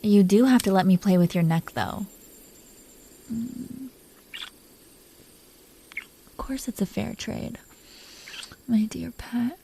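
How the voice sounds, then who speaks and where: A woman playing a possessive, proud but secretly tender dragon queen in an intimate roleplay audio drama, breathy and teasing. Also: a little high, fairly even intonation, slow pace, pauses often, warm tone, a little hiss or hum. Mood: seductive whisper. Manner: breathy, teasing, haughty, lingering delivery.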